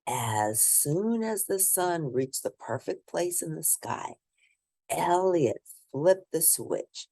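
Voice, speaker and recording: A woman reading a children's picture book aloud, expressively, with theatrical, drawn-out emphasis for young listeners. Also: fairly low pitch, animated, warm tone, dry recording. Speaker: storybook reader.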